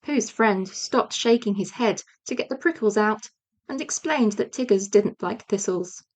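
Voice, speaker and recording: A woman's voice: medium pitch, lively intonation, measured pace. A woman narrating a children's audiobook as a scripted storyteller.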